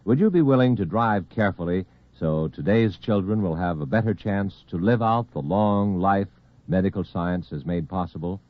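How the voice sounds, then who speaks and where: A man's voice: deep voice, lively intonation, moderate pace, no room sound. A man reading an earnest, formal scripted public service announcement on the radio. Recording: dull vintage radio recording.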